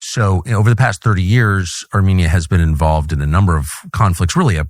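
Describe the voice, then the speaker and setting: A man from California interviewing a guest, asking probing, deliberate questions. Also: deep voice, no room sound, clean studio sound. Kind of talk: interview conversation.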